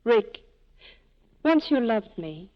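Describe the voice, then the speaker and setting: Torn, pleading heroine, higher register, earnest vintage radio drama, muffled period recording. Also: female voice, very animated, slow pace. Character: desperate, torn woman pleading with former lover. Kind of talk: 1940s radio drama acting.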